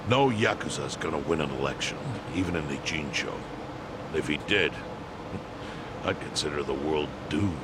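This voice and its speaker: A man voicing a measured, pragmatic older yakuza chairman with a dry, deliberate manner in a game dub. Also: very deep voice, expressive, heavy background noise. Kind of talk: video game English dub acting.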